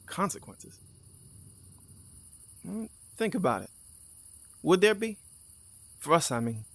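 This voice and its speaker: A man's voice: high-pitched, big pitch swings, slow pace, vocal fry, a bit bright, full character voice. A man playing an easygoing, teasing, candid crewmate on a mission in a naturalistic audio drama, talking casually. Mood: curious, nudging. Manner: casual, conversational.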